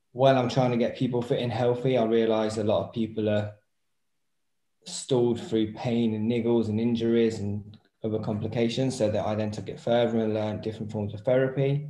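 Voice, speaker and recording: A man's voice: lowish voice, warm tone. A man in his thirties talking casually and reflectively as an interview guest, explaining his work as a personal trainer over a video call. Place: small indoor room at home, video call. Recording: roomy echo on voice.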